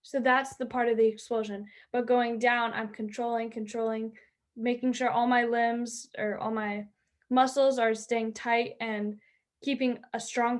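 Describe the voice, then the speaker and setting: A teenage girl who plays high school softball, chatting eagerly in an interview with lots of fillers. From Southern California. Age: teens. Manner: bubbly, chatty, many "like" and "um" fillers. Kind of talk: interview answers.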